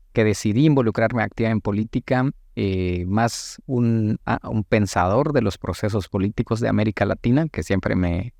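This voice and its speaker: A Guatemalan man in his late thirties giving thoughtful, halting answers as a politician being interviewed, with formal wording. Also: lowish voice, vocal fry, a little mellow, no echo, clean studio sound. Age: late 30s. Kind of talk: reflective interview talk.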